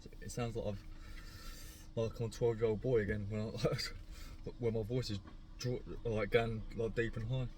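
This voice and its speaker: A man's voice: on the low side, little pitch movement, normal speed, darkish tone, a little hiss or hum. Laid-back man with a sore throat. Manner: rambling, laid-back, self-correcting. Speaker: car vlogger. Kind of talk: casual talk to camera. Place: parked car.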